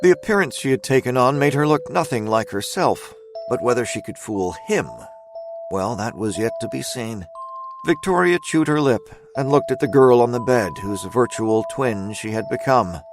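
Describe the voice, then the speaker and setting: A man's voice: medium pitch, lively intonation, moderate pace, over music, lightly acted. A man narrating a scripted audio drama as its storyteller.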